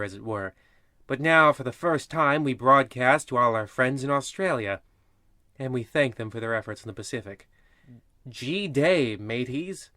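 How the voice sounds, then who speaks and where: An actor playing a macabre, theatrical and sardonic host in a parody of old radio horror shows. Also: male voice, mid-range voice, sharp tone, no room sound, studio quality, close to an everyday voice. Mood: grandly sinister.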